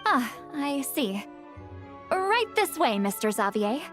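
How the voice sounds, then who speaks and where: A woman playing a polite, animated young female attendant who guides visitors in a video game. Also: high-pitched, takes it slowly, over music, exaggerated voice.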